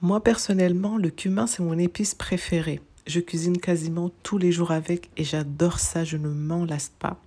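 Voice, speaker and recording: A woman's voice: lowish voice, fairly even intonation, normal speed, hardly any pauses, warm tone, husky. A woman from Mayotte narrating informative asides with enthusiasm, listing facts with casual fillers. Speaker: wellness channel host. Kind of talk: informative voice-over.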